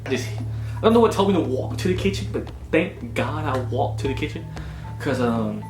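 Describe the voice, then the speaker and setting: Man, twenties, casual vlog storyteller, slangy, animated, echoey room, background music. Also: mid-range voice, brisk. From Augusta, United States. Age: 20s. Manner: animated, slangy, punctuated with "you know what I'm saying". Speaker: storytelling vlogger. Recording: roomy echo with music behind.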